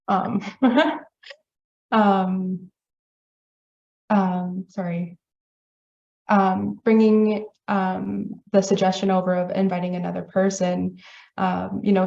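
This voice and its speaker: A hesitant woman organizer with a gravelly, fry-laden voice, pausing often on ums. She sounds roomy and echoey. The audio is muffled and lo-fi. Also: mid-range voice, dark tone. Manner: hesitant, many ums, apologetic. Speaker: festival organizer. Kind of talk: casual panel discussion.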